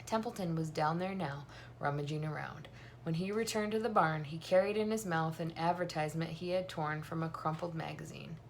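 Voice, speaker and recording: A woman's voice: sits low, fairly even intonation, brisk, gravelly, slight background noise. A woman in her thirties reading a children's novel aloud at home. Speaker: reader. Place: small room at home, indoors.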